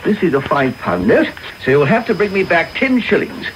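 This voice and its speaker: Radio play: trusting elderly English benefactor, genteel, assured, expressive intonation, noisy taping. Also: male voice, on the high side, normal speed. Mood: kindly, instructive. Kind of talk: radio drama acting.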